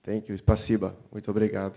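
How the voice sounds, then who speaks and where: A man's voice: fairly low pitch, monotone, fast talker, vocal fry. A man with a Brazilian accent, a native Portuguese speaker, giving a short thank-you speech as a senior medical resident. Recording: narrow tinny phone-like sound.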